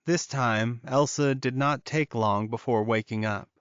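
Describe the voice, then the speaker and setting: A man's voice: medium pitch, a little slow, smooth, no room sound. A man narrating scripted fiction as an audiobook reader, in a slightly muffled recording.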